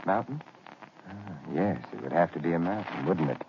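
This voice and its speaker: A wry, smooth gentleman sleuth in a vintage radio drama. His voice sits low but swings widely through each line. The old broadcast sounds dull and muffled, with a faint hiss underneath. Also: very animated. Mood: amused, probing. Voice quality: smooth, dryly witty, unhurried. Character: suave gentleman detective, male, wry. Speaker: lead detective. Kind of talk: 1940s radio acting.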